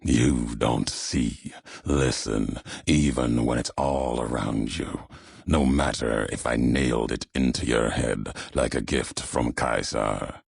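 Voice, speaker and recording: Gravelly, ultra-deep brooding wasteland wanderer. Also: male voice, fairly even intonation, no echo, heavily acted. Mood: accusing, menacing. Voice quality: gravelly, raspy bass. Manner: slow, solemn, ominous, terse weighty phrasing. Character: brooding, vengeful wasteland courier, solemn adult man. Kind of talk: video game character dialogue.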